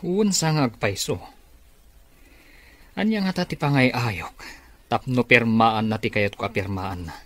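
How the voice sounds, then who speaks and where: An actor playing a scheming, power-hungry villain in an Ilocano radio drama, with an Ilocano accent. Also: male voice, mid-range voice, animated, a little slow, pauses often, fairly bright tone, dry recording.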